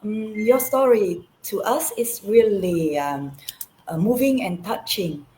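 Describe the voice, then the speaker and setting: A woman's voice: medium pitch, slow, dark tone, slight background noise, rough recording. A woman co-hosting an interview, talking with many fillers and restarts. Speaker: co-host. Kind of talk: interview conversation.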